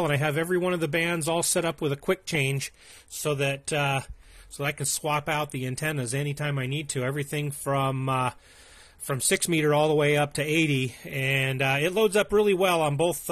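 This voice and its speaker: Male ham radio operator, a little higher in pitch, casual and courteous on-air chat. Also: a bit bright. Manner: polite, appreciative.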